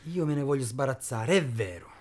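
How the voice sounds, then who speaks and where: A man's voice: mid-range voice, animated, fairly quick, darkish tone, slight background noise, close to an everyday voice. A man playing a coarse, pragmatic, pushy henchman in a radio drama, with a southern Italian accent and colloquial speech. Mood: pressing. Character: rough, pragmatic henchman called Toto, cynical and pushy.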